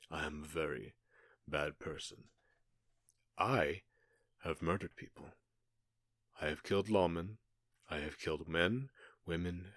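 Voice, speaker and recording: Casting: male actor for menacing gang boss in ASMR roleplay, deep-voiced, taunting and falsely polite, very close dry recording. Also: pushed and bright, dry recording, full character voice. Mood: cold, boastful. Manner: mock-polite, taunting, deliberate pauses.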